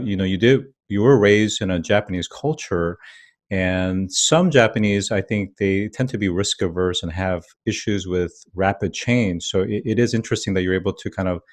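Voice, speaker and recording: A man in his thirties with a fairly low voice hosts an interview over a video call. He speaks into a large studio mic and sounds measured and affirming as he sets up his questions. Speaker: host. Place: room with a wood-panel wall.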